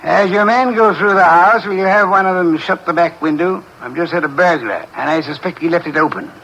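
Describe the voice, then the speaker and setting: A pompous detective in an old radio drama, with a gravelly, bright voice pitched a little high. He talks in a dry, lordly, sardonic way, as if everyone around him is a slight bore. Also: a little fast, highs cut off, a little hiss or hum. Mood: mocking. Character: pompous, fussy, sharp-witted male detective. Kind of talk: 1940s radio acting.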